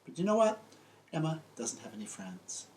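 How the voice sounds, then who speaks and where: A man's voice: a little high, big pitch swings, normal speed, slight background noise. An older American man, a children's book author, presenting and telling stories to young children in simple, emphatic phrases. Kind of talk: friendly scripted presentation and storytelling for young children. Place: small book-lined room indoors.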